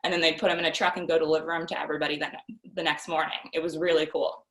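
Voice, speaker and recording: A woman's voice: low voice, level tone, rapid-fire, a bit bright, reverberant, poor audio. A young woman, a magazine writer, answering questions in a chatty, informal, self-deprecating way. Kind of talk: casual question and answer chat.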